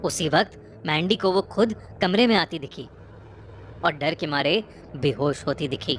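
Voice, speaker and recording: A woman narrating a scripted horror story in a measured, suspenseful way, phrase by phrase. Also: low voice, background noise. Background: prominent music bed.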